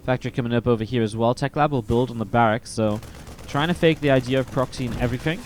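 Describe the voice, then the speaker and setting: A man commenting play by play on a strategy video game match, a little sniffly from a cold, steady and then more excited in the fights. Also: medium pitch, fast talker, slight background noise. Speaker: esports caster.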